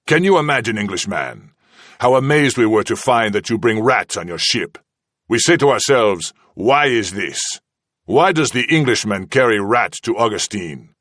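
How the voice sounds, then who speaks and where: A man's voice: lowish voice, animated, normal speed, a bit bright, no room sound, clean studio sound. A man voicing a cruel, sneering commander who taunts a prisoner in a mocking, singsong way. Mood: menacing mockery. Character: cruel sneering Spanish commander, menacing, toying.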